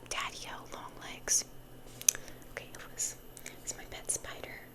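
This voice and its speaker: A woman whispering breathily as she hosts a rambling ASMR video, softly repeating words and phrases. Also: a little slow.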